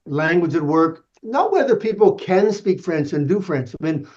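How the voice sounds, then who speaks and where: A man who makes documentaries, speaking as a podcast guest in a measured, explanatory way. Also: fairly high pitch, lively intonation, a little mellow, lo-fi sound. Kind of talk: interview answers.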